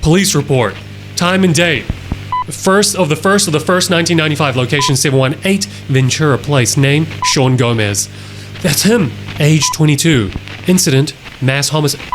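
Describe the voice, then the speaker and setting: A man's voice: a little high, normal speed, poor audio. A man reading text aloud and adding casual, wry commentary as a horror reaction host. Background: music behind the voice.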